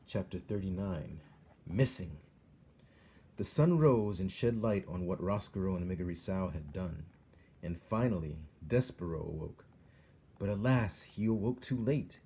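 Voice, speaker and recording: A man's voice: deep voice, animated, unhurried, warm tone, slightly rough. A man reading a storybook aloud as a dramatic narrator. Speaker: storybook reader.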